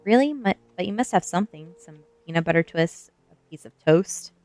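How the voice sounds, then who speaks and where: A woman's voice: on the low side, animated, a little fast, darkish tone, lightly acted. Woman voicing teenage goth girl, spirited, bold, defiant, storybook dialogue, background music. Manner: cheeky, defiant. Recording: music behind the voice.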